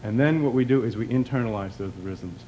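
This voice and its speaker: A man's voice: mid-range voice, brisk, dark tone, dull audio, a little hiss or hum. A man teaching pantomime movement, giving short, encouraging, repeated cues as he demonstrates. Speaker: pantomime performer and teacher, guest.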